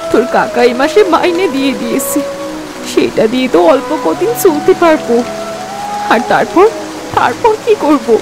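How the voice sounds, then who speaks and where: A woman's voice: high voice, sing-song, normal speed. A woman voicing a poor, wronged mother in a cartoon moral story, pleading and anguished. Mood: anxious. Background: music.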